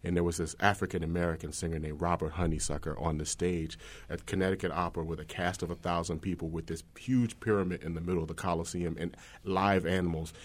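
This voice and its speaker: A man's voice: low-pitched, level tone, quick pace. A man, an opera singer, telling stories from his youth in an earnest, conversational way on a panel. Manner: earnest storytelling.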